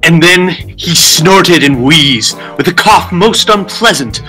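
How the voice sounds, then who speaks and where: Bright, slowish male storyteller. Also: a little high, animated, faint music behind. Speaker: story reader. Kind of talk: rhyming storybook narration.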